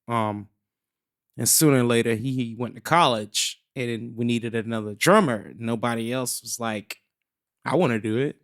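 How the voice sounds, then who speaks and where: Easygoing young man, smooth voice. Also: mid-range voice. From South Carolina, USA. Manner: easygoing, rambling storyteller. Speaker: guest musician. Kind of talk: casual interview chat.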